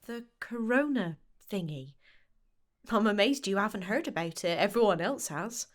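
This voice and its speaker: A woman's voice: mid-range voice, expressive, close to an everyday voice. A woman playing a plain-spoken, kindly village shopkeeper in an audio story, talking informally. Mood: matter-of-fact. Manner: unhurried, informal, colloquial. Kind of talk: acted dialogue in audio story.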